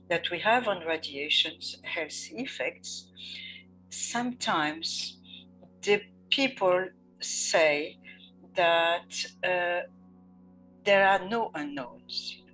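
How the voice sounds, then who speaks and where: A woman answering interview questions as an expert, slow and measured, pausing often with fillers. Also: lowish voice, sharp tone, rough recording.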